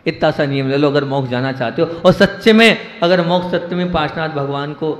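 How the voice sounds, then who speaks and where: Man, monk, sermon, high voice, rhetorical questions, repetitive emphasis, roomy hall echo. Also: quick pace. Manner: rhetorical questions to the audience, repeating phrases for emphasis; swings between raised emphatic lines and quiet low asides. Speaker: Jain monk giving a discourse. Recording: echoey hall with long reverb.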